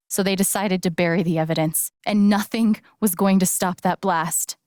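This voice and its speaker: A woman's voice: medium pitch, a little mellow, no room sound, close to an everyday voice. Earnest, defiant young heroine, played by a woman, dry recording. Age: young adult. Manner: earnest, plain-spoken, emotionally charged. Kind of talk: dramatic video game voice acting.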